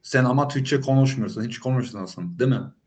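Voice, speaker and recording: A man's voice: medium pitch, fast, a little mellow. A man with a mid-range voice chats on a video call. He is playful and keeps testing his partner with questions, in a loose, casual tone. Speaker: polyglot video host. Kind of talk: casual chat.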